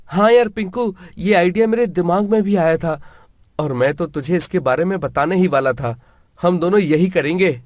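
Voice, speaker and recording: Man doing a high-pitched, spoiled, callous young son. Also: normal speed, darkish tone, dry recording, studio quality, lightly acted. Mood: scheming. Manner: brash, dismissive.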